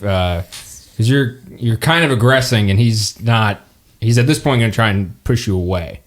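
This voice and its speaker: A man narrating as game master of a tabletop game, relaxed and quick to laugh. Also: on the low side. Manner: relaxed, laughs often, hesitant fillers.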